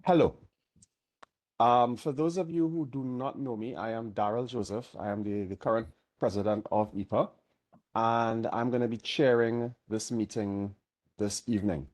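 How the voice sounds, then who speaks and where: A man's voice: mid-range voice, fairly even intonation, normal speed, darkish tone. A man chairing an online meeting, a little nasal from a cold, easygoing and chatty while keeping his diction careful.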